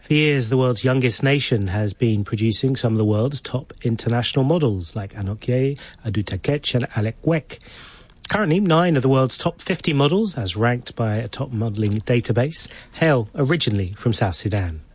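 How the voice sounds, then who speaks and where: A man's voice: medium pitch, lively intonation, normal speed, darkish tone, slightly rough, no room sound. A man presenting scripted links on a news programme.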